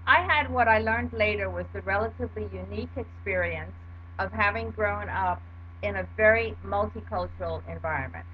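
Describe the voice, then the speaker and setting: A woman's voice: medium pitch, dull audio, a little hiss or hum. Older woman giving a formal talk. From Jamaica. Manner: measured, formal delivery. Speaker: lecturer. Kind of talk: prepared talk read aloud.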